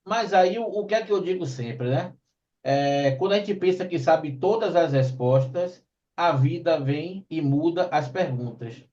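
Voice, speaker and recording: A man's voice: fairly high pitch, lively intonation, unhurried, warm tone, distant mic, dull audio. A man in his fifties hosting a casual chat, a mentor who likes folksy sayings. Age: 50s. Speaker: host.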